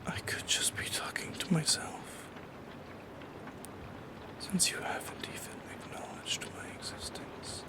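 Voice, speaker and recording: Whispering, lonely shadow creature, voiced by an ASMR performer. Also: natural voice. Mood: wistful, musing. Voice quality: breathy whisper. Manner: slow, hesitant, philosophical musing. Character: lonely, wistful shadow creature haunting the listener at night. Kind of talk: soft-spoken ASMR monologue.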